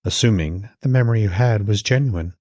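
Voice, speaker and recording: A man's voice: lowish voice, brisk, a little mellow, no echo, natural voice. A man voicing a concerned, frank goddess wife, questioning and pointed, in an audiobook.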